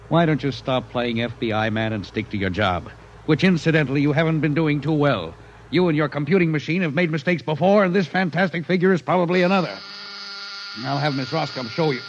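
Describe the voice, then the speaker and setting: A man's voice: a little high, a little fast, natural voice. Male actor as stern, irritable office chief; curt 1950s radio delivery, muffled with faint noise. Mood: irritable, dismissive. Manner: brusque, scolding. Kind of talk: 1950s radio drama acting. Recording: muffled, noisy vintage radio recording.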